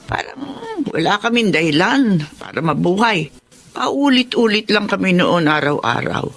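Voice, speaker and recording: A woman's voice: lowish voice, animated, measured pace. A woman playing a doting, emotional elderly grandmother in a Filipino radio drama, speaking expressively and affectionately. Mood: wistful.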